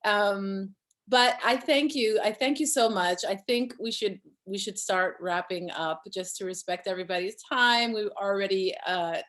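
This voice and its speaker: A woman's voice: mid-range voice, measured pace, a bit bright. A woman moderating a panel over a video call, wrapping up with friendly, repeated thanks.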